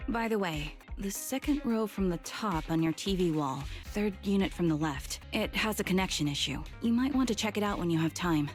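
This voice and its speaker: A woman playing a calm, reserved blind soldier in a video game, soft-spoken, formal and measured. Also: medium pitch, faint music behind, natural voice. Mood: calm, polite.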